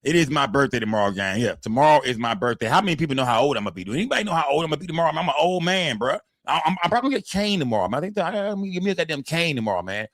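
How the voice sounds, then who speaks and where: A man in his forties talks quickly on a live stream, with a loose, profane, repetitive flow. His pitch sits in the middle of the male range. The voice is close and dry, with almost no room sound. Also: very animated, fairly bright tone, dry recording. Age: 40s. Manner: loose, profane, repeats phrases with tag words like "man" and "fam". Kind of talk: casual live-stream chat, reading the chat aloud.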